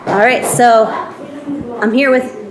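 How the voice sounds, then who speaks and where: A woman interviewing a band, asking quick friendly questions and backing them up with short replies. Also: fairly high pitch, fairly even intonation, roomy sound. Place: noisy music venue. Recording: crowd noise behind.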